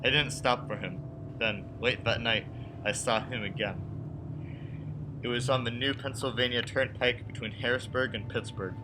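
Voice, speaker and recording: An actor playing a haunted man in his thirties from Brooklyn, narrating a radio play in earnest, deliberate phrases. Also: medium pitch, fairly even intonation, background noise, lightly acted. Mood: uneasy. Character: haunted 36-year-old man from Brooklyn, anxious but insisting he is sane. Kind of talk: radio play acting, first-person dramatic narration. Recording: music behind the voice.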